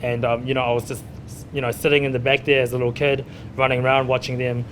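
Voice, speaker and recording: A man's voice: mid-range voice, little pitch movement, fast talker, slight background noise, poor audio. A man in his thirties with a New Zealand accent, telling his story informally in an interview as a chef, often saying "you know". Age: 30s. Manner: conversational, frequent "you know". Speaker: chef and restaurant owner being interviewed.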